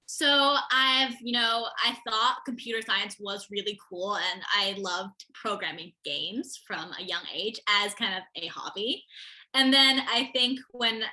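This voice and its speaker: A woman's voice: mid-range voice, unhurried, sharp tone, rough recording. A teenage girl answering interview questions, eager and articulate. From America, Connecticut. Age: teens. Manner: eager, articulate, laughs while telling stories. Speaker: interview guest, student.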